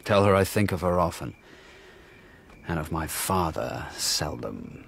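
An actor playing a forceful, stubborn warrior king in a radio drama, blunt and commanding. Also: deep voice, expressive, a little slow, vocal fry, background noise, full character voice. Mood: earnest command.

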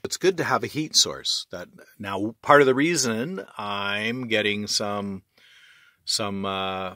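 A man chatting casually as he films his evening routine in a small sailboat cabin, relaxed, with restarts and friendly "eh?" tags. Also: lowish voice, no echo, studio quality. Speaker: sailboat designer and vlogger. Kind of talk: casual how-to vlog narration.

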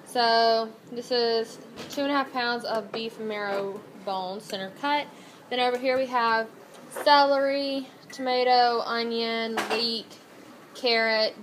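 A bubbly young woman with a slightly high voice rambles cheerfully into her phone at arm's length. She talks through her cooking and her day in a casual vlog style, with steady household noise behind her. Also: unhurried. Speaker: vlogger. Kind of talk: casual daily vlog chat. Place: home kitchen, indoors. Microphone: phone camera at arm's length.

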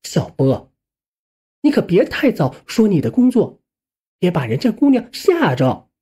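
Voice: mid-range voice, very animated, measured pace, dark tone, breathy edge, full character voice. A narrator voicing a bossy but doting middle-aged aunt in an audio story, nagging and coaxing. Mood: cautious.

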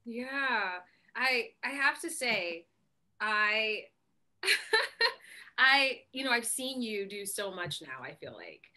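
A woman's voice: on the high side, big pitch swings, slow, pushed and bright. A young woman hosting a casual video call from home, full of enthusiastic praise for a guest. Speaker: host. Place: home room, indoors.

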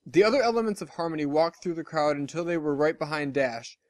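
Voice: mid-range voice, fast, darkish tone, no room sound, studio quality. A story reader giving a scripted narration of fiction. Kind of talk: scripted narration of fan fiction with character voices.

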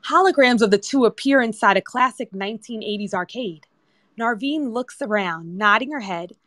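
A woman's voice: fairly high pitch, moderate pace, fairly bright tone, no echo. Dry-sounding woman narrating a screenplay. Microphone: remote audio chat app.

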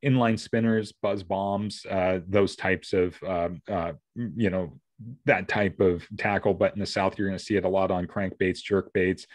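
A man's voice: deep voice, steady tone, unhurried, no room sound, clean studio sound. An American man co-hosting a casual podcast, explaining gear in a rambling, detailed way. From US Pacific Northwest. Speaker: fishing podcast co-host. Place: indoor room, on a video call.

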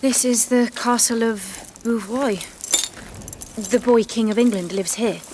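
A woman's voice: on the high side, unhurried, background noise, lightly acted. A woman playing a devout young captive maiden, earnest and direct, in an audio drama. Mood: earnest questioning. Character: devout, plain-spoken young French peasant warrior maiden held prisoner.

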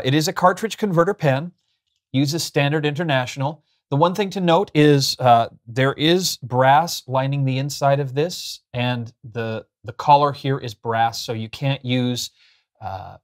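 A man in his thirties hosts a review in a chatty, explanatory way. His voice sits at an ordinary middle pitch. He talks casually to the camera, picked up by a lapel mic in a small furnished room. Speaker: host of a pen review show.

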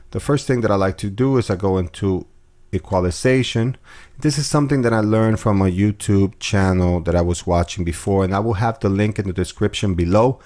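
A man calmly walking through audio editing steps in a screen-recorded tutorial, with casual fillers. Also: low voice. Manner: relaxed step-by-step explaining with fillers. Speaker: music production tutor.

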